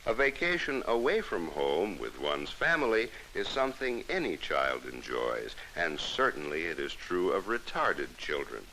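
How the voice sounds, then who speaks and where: Hissy 1960s film soundtrack, American male narrator, low bright voice, formal, instructive, scripted delivery. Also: sing-song, measured pace, hardly any pauses, poor audio.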